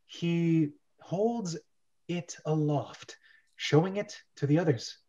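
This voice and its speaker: A man narrating a script read-through, casually reading stage directions in a halting way and correcting his misreadings. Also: on the high side, dark tone, dull audio.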